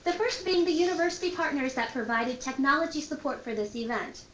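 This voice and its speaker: A woman's voice: sits high, animated, fairly quick, fairly bright tone, lo-fi sound. A woman hosting an event, giving informal welcome announcements in an encouraging, reassuring way. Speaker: event host. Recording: echoey room, faint background noise.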